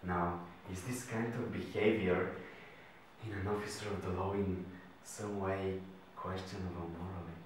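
A man's voice: low voice, steady tone, moderate pace, natural voice. A young man playing a brutal, hate-filled, self-righteous policeman in a stage monologue. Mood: self-righteous anger. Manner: menacing, profane. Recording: echoey room, distant microphone, hiss.

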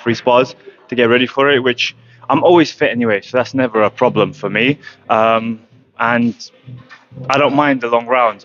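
A young man from Croatia, a professional boxer, speaking in a matter-of-fact way with many pauses and ums in an interview at a gym. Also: mid-range voice, fairly bright tone, muffled recording. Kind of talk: casual interview. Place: boxing gym, indoors. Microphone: handheld mic held close.

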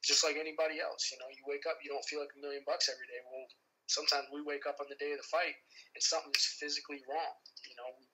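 A young man in his early twenties, a boxer, answering interview questions over the phone from inside a car, relaxed and confident with many fillers. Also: fairly high pitch, rapid-fire, low-quality recording. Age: 20s. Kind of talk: casual interview. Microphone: phone held close.

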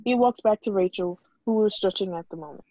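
A woman's voice: medium pitch, brisk, warm tone, dry recording. A woman narrating a script at a table read, reading out stage directions over a video call. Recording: dull lo-fi video call audio.

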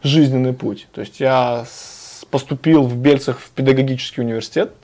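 A man, a studio interview guest who talks in a measured way, pausing often. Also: medium pitch, steady tone, mellow. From Moldova. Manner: measured, hesitant with many filler pauses. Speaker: guest, former local official. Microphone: lapel.

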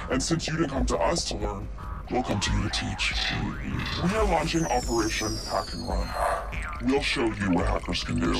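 A man's voice: lowish voice, gravelly. An actor voicing an anonymous, righteous male hacktivist spokesman in a solemn, declamatory game propaganda video, his voice electronically disguised. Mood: scornful threat. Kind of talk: scripted propaganda video narration. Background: music.

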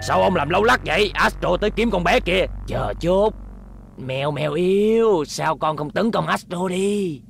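A man voicing a sly, scheming old scientist with a gravelly voice and coaxing manner in a cartoon dub. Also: high voice, expressive, moderate pace, a little hiss or hum. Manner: sly, coaxing, chuckling villain.

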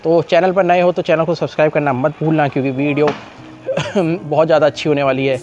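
A man's voice: a little high, steady tone, fairly quick, muffled recording. A young man talks casually to camera as a vlogger, with a slightly sore, coughy throat. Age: young adult. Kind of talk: casual vlog talk to camera. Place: open rooftop outdoors in a city. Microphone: clip-on lapel mic. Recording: noisy outdoor urban ambience.

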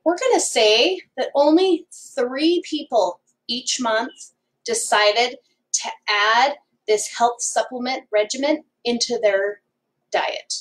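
A woman's voice: fairly high pitch, slow pace. A woman in her forties, a hairdresser and salon owner, giving a whiteboard business talk and ending points with "okay?", recorded by a camera across a room at home. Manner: emphatic, ends points with "okay?". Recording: voice from a distance.

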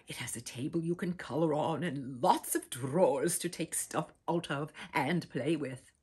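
A woman in her sixties performs a children's read-aloud. Her voice is lowish and very husky, swinging dramatically across a huge range and sinking into breathy whispers. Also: darkish tone. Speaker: storyteller, host. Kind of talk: theatrical children's picture-book read-aloud. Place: small furnished room indoors with bookshelves.

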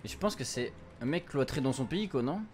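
A man's voice: mid-range voice, lively intonation, fairly quick, a little hiss or hum. A young man doing a casual let's play, translating and reading game dialogue aloud in an informal, slangy way. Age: young adult. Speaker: streamer. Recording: music playing behind the voice. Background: video game music.

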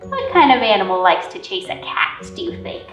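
A woman's voice: medium pitch, very animated, a little fast, fairly bright tone, faint music behind. A woman in her thirties hosting a library storytime, talking to small children in simple, encouraging language with questions and praise. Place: indoor library room with painted mural. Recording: roomy, distant camera pickup.

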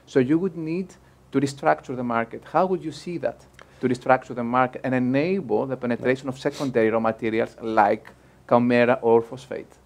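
A man's voice: mid-range voice, steady tone, a little fast, gravelly, hardly any pauses. A young man moderating a panel, asking careful, probing questions. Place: bright room by large windows over a canal.

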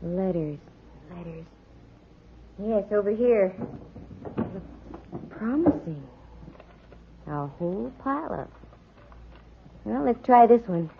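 An actress playing a dry, wisecracking, brisk female private eye in a 1940s radio detective drama. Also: medium pitch, expressive, gravelly, a little hiss or hum. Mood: musing. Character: wry, brisk female private eye, slangy and coolly scheming. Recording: muffled, phone-like vintage radio recording.

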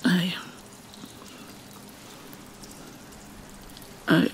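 Slow, whispery, sorrowful vampire mermaid played by a woman. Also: close to an everyday voice. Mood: grieving, guilty. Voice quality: soft, breathy, often slipping into whisper. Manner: halting, mournful, dramatic pauses. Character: vampire mermaid, sorrowful young-looking princess, centuries old, haunted. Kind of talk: soft-spoken ASMR roleplay monologue. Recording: steady rain and water noise behind. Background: rain ambience.

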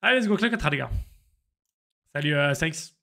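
A man, live-streaming slot games and chatting excitedly with viewers over a headset in a small room. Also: a little high, normal speed, creaky. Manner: excitable, slangy, chats with viewers. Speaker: casino streamer.

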